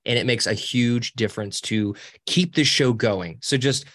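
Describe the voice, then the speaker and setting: A man with a high voice and a cackling laugh, a podcast guest talking casually and cheekily with many restarts. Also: moderate pace. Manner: animated, cheeky, rambling with restarts. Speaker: podcast guest, social media co-founder. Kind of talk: casual interview talk.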